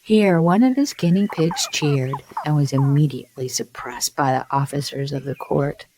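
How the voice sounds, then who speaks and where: A woman narrating a bedtime story in a soothing, measured storyteller's manner. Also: low-pitched, darkish tone, slight background noise, poor audio.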